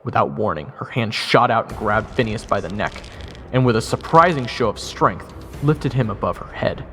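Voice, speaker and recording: Man playing a wry, animated jester in reverb. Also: lowish voice, normal speed. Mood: narrating. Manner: casual, wry, awkward. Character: wisecracking, easily flustered jester telling his own story. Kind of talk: first-person audio drama narration and dialogue. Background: music.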